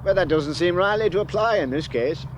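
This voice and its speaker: An actor playing a caring older father with a Northern English accent, slow and plainspoken, in a radio play. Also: high-pitched, lively intonation, highs cut off. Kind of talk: 1970s radio drama. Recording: noisy background ambience.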